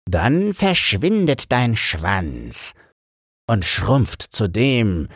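A man's voice: lowish voice, big pitch swings, extremely slow, fairly bright tone, breathy edge, dry recording, clean studio sound, voice pushed up, heavily acted. Man putting on a gloating sea witch, wildly swooping voice. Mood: scheming. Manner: mocking, theatrical, drawn out. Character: gloating, scornful old female sea witch.

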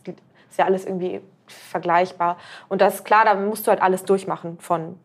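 A woman's voice: lowish voice, fairly even intonation, moderate pace. Chatty woman around thirty. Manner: chatty, rambling with fillers and restarts. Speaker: podcast guest, cook. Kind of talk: casual conversational storytelling.

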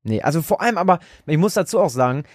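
A man's voice: fairly high pitch, animated, rapid-fire, no room sound. Casual man, dry close sound. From Germany. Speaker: podcast co-host and storyteller. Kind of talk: casual chat.

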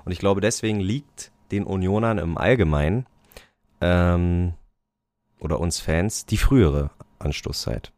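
A man's voice: low-pitched, a little slow, darkish tone, no echo, clean studio sound. A man co-hosting a casual football podcast, rambling with lots of fillers and restarts and laughing often. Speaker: podcast co-host. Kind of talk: casual chat about football.

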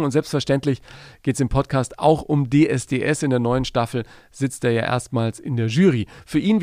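A man's voice: mid-range voice, darkish tone, dry recording, clean studio sound. A man hosting a podcast in Germany, introducing his guest and chatting casually, often tagging sentences with a questioning "ja?". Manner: chatty, enthusiastic, tags questions with "ja?". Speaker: podcast host. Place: home studio in Germany.

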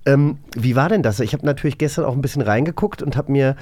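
A man's voice: medium pitch, fast talker, warm tone. A man hosting a casual podcast, talking in a chatty, rambling way as he explains and asks questions. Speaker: podcast host and quizmaster. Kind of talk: casual radio play podcast talk.

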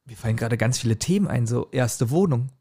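A man's voice: mid-range voice, expressive, quick pace, mellow, breathy edge. A middle-aged man, co-hosting a podcast and casually telling stories in a rambling, acted-out way. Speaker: podcast co-host. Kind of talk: casual personal storytelling.

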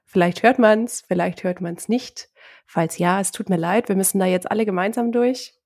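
A woman co-hosting a casual podcast while she has a cold, relaxed and friendly, laughing lightly. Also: medium pitch, moderate pace, vocal fry. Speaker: podcast co-host. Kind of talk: casual chat.

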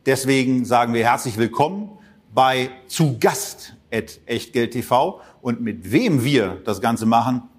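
A man's voice: medium pitch, unhurried, slightly rough. Chatty male podcast co-host. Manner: lively, joking welcome. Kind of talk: casual podcast hosting.

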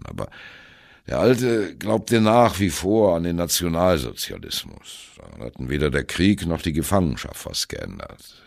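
A man playing a wry, embittered old German jazz drummer telling his life story in a radio play, with a gravelly, husky voice and a sardonic, conversational manner. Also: a bass voice, dry recording, clean studio sound, exaggerated voice. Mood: bitter, ironic. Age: older. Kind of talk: dramatic first-person radio play monologue.

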